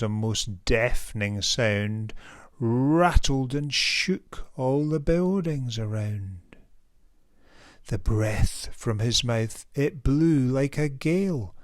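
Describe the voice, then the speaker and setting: A man reading a picture book aloud to young children in an expressive, animated storytelling way, as its author. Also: medium pitch, no echo, studio quality. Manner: expressive, addresses young listeners directly.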